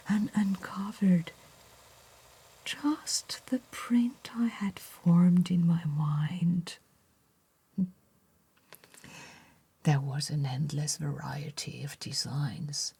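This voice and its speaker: A woman playing a gentle, nostalgic bookshop keeper in a breathy ASMR roleplay whisper, with genteel, deliberate phrasing. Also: sits low, full character voice. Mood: quiet delight.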